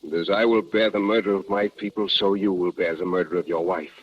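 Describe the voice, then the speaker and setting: An actor playing a proud, resolute chief in a 1950s radio drama, with formal, measured phrasing and no contractions. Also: male voice, low voice, fairly even intonation, husky. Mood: commanding. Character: proud, resolute Apache chief, grave and principled. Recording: muffled vintage radio broadcast.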